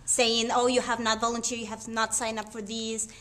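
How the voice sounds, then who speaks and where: A woman's voice: fairly high pitch, little pitch movement, normal speed, fairly bright tone. A woman from Peru, a guest mom chatting casually and with animation in a gallery shop. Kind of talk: casual chat.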